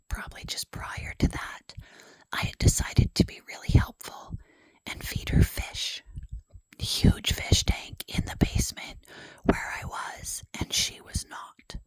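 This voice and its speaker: A woman's voice: no echo, low-quality recording. A woman whispering a rambling personal story in ASMR style, self-deprecating and full of fillers. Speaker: ASMR creator.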